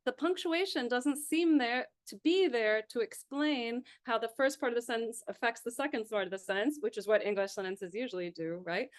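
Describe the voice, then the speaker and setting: A woman instructor reading a poem aloud and leading a seminar discussion, thinking aloud with fillers. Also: fairly high pitch.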